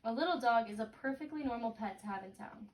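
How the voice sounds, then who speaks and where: A woman reads a children's book aloud in a fairly high voice, giving the story expression as she goes. She is some way from the mic in an echoey room, with clear noise and clicks around her. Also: fast, distant mic. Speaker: reader leading a read-aloud for a child. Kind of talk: picture-book read-aloud with questions to a child.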